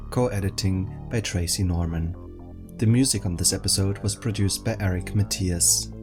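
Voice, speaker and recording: A man with a low voice reads out scripted end credits as the announcer. Music plays clearly underneath him.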